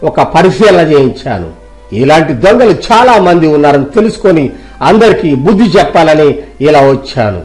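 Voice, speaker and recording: Man acting a firm, high-voiced village boss. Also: sing-song, brisk, darkish tone, soft background music, rough recording. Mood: righteous, insistent. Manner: argumentative, insistent. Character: firm, self-righteous village employer justifying himself. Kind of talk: dramatised moral story.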